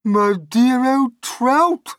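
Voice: high-pitched, expressive, very slow, a bit bright, no room sound, clean studio sound, pitched above the performer's own voice, full character voice. A storyteller voicing a vain, bossy old aunt who drawls in a pompous, raised voice.

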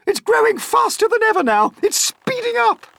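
A shrill, bossy storybook aunt played by a man, shrieking far up high and swooping wildly. She is snappish, mean and easily excited, with a dry, close sound. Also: moderate pace, creaky, no echo, full character voice. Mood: thrilled shrieking. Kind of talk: audiobook character voice.

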